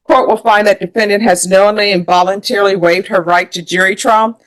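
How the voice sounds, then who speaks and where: A woman's voice: mid-range voice, steady tone, brisk. American woman judge, formal and methodical. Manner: procedural, methodical, formulaic. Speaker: judge presiding over a plea hearing. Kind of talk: formal courtroom questioning and procedure.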